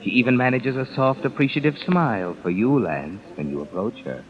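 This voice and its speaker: An actor playing an ominous, all-knowing narrator of a 1950s radio mystery, speaking in a knowing, sardonic, deliberate way. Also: male voice, medium pitch, a crowd in the background, lightly acted. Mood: sardonic, accusing. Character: ominous all-knowing male narrator addressing a guilty man. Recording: muffled vintage radio recording with music behind.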